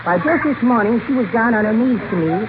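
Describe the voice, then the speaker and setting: A man's voice: very high voice, animated, fairly quick. A heavily noisy vintage radio recording, thin as a phone line. a meek, henpecked little man who speaks with timid politeness in a comedy. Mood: meek, mild. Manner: mild, polite, hesitant, mousy. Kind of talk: 1940s radio comedy acting.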